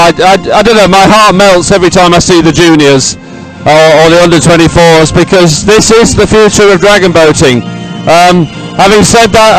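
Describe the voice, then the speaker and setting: A man's voice: high voice, low-quality recording. Male host, talkative, many fillers, speaks from beside the podium, music playing faintly. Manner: chatty, rambling with many fillers. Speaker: sports commentator. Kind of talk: live sports commentary. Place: outdoors at a medal podium.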